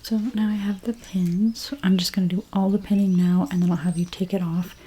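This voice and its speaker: A woman doing a soft-spoken ASMR role play as a dressmaker, gentle and unhurried, her breathy voice often slipping into a whisper. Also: fairly low pitch, fairly even intonation. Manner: gentle, unhurried, reassuring explanations to a client. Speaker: ASMR creator role-playing a dressmaker at a fitting.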